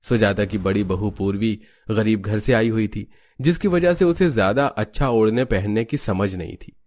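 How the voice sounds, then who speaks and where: A man's voice: lowish voice, moderate pace, a little mellow. A man reading scripted storybook narration for a cartoon family tale.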